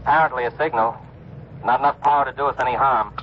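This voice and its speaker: A man's voice: medium pitch, fairly even intonation, fast talker, close to an everyday voice. An actor playing a dutiful, impersonal spaceship crewman giving matter-of-fact reports in a 1950s radio drama. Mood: businesslike, alert. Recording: muffled, faintly noisy old radio recording.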